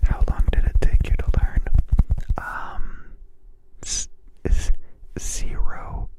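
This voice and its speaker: A man, a native Polish speaker raised in Canada, whispers a playful live-stream chat close to the mic and softly repeats words for effect. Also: slow pace, dry recording, poor audio. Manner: whispering throughout.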